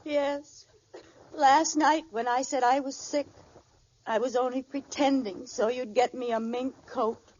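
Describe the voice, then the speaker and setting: An actress playing a dramatic, scheming housewife in a theatrical 1940s radio sitcom style, on an old recording. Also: female voice, high-pitched, fairly even intonation, unhurried, darkish tone. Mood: remorseful, tearful. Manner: melodramatic, theatrical. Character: dramatic scheming housewife who believes she is dying. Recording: muffled, noisy old-time radio recording.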